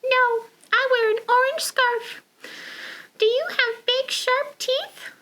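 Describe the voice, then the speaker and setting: A woman voicing an eager, wide-eyed young male penguin in a picture book read-aloud, childlike and excitable. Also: very high voice, slow pace, voice pushed up, full character voice. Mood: curious, earnest.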